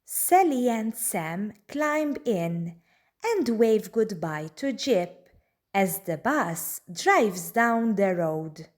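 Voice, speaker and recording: Very slow, lively woman storyteller. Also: medium pitch. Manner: deliberate, expressive storytelling for young children. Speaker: children's book reader. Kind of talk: read-aloud storybook narration.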